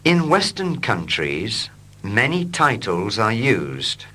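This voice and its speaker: A man reading short English dialogues slowly and clearly for learners, line by line. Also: lowish voice. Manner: slow, clear and expressive. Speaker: English teacher. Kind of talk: slow scripted reading for learners.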